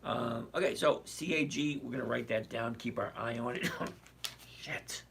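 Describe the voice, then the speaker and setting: A man hosting a casual stock-trading livestream, his voice hoarse and cracking as he chats with viewers and keeps asking "okay?". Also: mid-range voice, a little slow. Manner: chatty, repeats "okay?", answers viewers. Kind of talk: casual livestream market commentary. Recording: distant mic with faint background noise.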